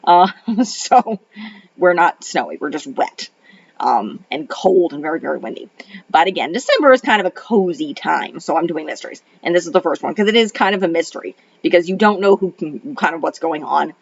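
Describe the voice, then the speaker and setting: A woman's voice: lowish voice, expressive, normal speed, creaky, slight background noise. A middle-aged woman, a retired librarian and homeschool mom, rambles through a casual film review at home while her voice is still thrown off by a cold. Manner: rambling, many ums and restarts. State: recovering from a cold, voice a little off. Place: home in Arizona. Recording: dull lo-fi audio.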